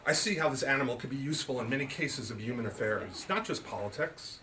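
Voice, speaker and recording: A man's voice: medium pitch, animated, quick pace, bright, forceful tone, a little hiss or hum, poor audio. A man voicing a composed young female detective in a comic mystery story, asking polite, probing, formal questions. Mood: considering.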